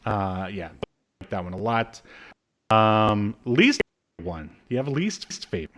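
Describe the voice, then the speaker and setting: A man in his thirties co-hosting a podcast in casual chat, with a jokey, halting delivery. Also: fairly low pitch, expressive, fairly bright tone. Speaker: podcast co-host. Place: small room at home, on a video call.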